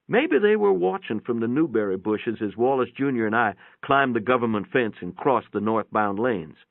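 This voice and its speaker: A man's voice: mid-range voice, lively intonation, normal speed, vocal fry, dry recording, phone-quality sound. A man giving a folksy, plainspoken dramatic reading of a first-person story, with a radio-like sound. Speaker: narrator, reader. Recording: band-limited, radio-like sound.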